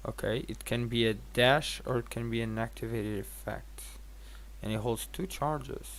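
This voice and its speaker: A man's voice: medium pitch, moderate pace, slight background noise. A tired man giving casual gameplay commentary as a streamer, rambling and thinking aloud with some casual swearing. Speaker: game streamer.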